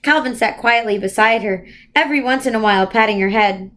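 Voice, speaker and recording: A woman reading a children's novel aloud in an expressive storytelling way. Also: on the high side, brisk.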